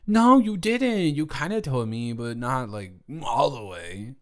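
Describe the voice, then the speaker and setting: A man's voice: medium pitch, expressive, a little slow, lightly acted. A young man playing a playful drunk college roommate in an intimate audio roleplay, rambling, slurring, joking and repeating himself. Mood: teasing, tipsy. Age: young adult.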